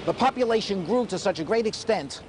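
An older man, leading a walking tour as a historian and telling its history with animated, pun-loving lecturing. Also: high voice, brisk. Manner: animated storytelling lecturer, fond of puns. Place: outdoors on a city street by a graffiti wall. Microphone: camcorder a few feet away. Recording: noisy 1980s camcorder street recording. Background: city traffic.

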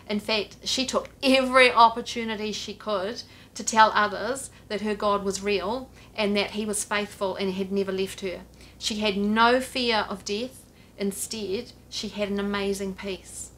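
A middle-aged woman preaches straight to the camera from a sofa in her living room, earnest and direct, her voice sitting at an ordinary middle pitch. A faint low rumble runs under the recording. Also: fairly bright tone. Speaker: pastor. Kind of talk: home church sermon to camera. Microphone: camera at a few feet, head and shoulders framing.

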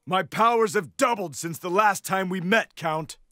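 An actor playing a cocky young Jedi warrior in a video game, defiant and declamatory. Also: male voice, high-pitched, moderate pace, bright, forceful tone, no echo, clean studio sound.

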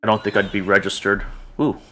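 A man's voice: on the low side, level tone, a little fast, sharp tone, a little hiss or hum. A man narrating an online tabletop roleplaying game as game master, describing scenes and instructing players. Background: music.

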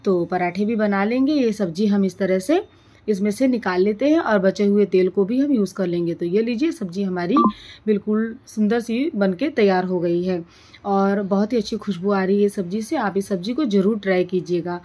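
A woman's voice: mid-range voice, moderate pace, warm tone. A woman narrating a home cooking tutorial in an explanatory, step-by-step way. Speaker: home cooking show host.

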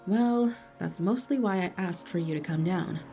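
A woman's voice: on the low side, normal speed, mellow, soft background music, lightly acted. A woman acting a suspicious, wry police investigator in an audio drama, matter-of-fact and dry.

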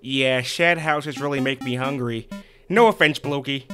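A man's voice: medium pitch, a little slow, sharp tone, faint music behind. A man voicing a cocky, foul-mouthed wisecracker in a comedic game dub, with a casual mocking manner.

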